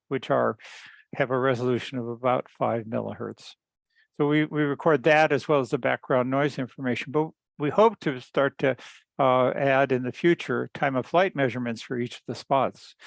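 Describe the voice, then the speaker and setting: A man giving an unscripted technical explanation on a group call, halting, repeating words and often clearing his throat. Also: mid-range voice, fairly even intonation, no echo. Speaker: engineer presenting research at a teleconference.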